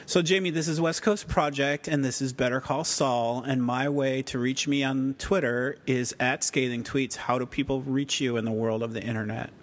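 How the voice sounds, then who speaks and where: A man co-hosting a casual podcast, with a smooth, soothing voice and a laid-back, dryly humorous manner. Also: fairly even intonation, normal speed, few pauses. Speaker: podcast co-host. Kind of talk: casual podcast chat about a TV series. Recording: clear low rumbling background noise.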